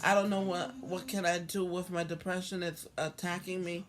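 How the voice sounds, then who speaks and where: A hoarse woman vlogging about her own life in a halting, earnest way, repeating herself and restarting often. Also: lowish voice, level tone, soft background music. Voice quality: halting, repetitive, with many restarts. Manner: earnest, heartfelt. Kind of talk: personal talk to camera.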